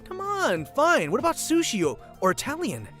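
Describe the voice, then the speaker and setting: Manga dub lead: man voices a shy, earnest high school geek with a lively pitch range, over light music. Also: moderate pace, heavily acted. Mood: eager, nervous. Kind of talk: manga dub narration and dialogue.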